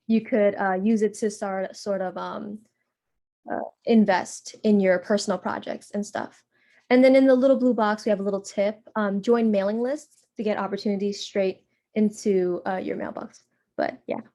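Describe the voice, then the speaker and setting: A woman's voice: mid-range voice, warm tone. A young woman giving a casual slide presentation on a video call, chatty and full of fillers. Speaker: workshop presenter.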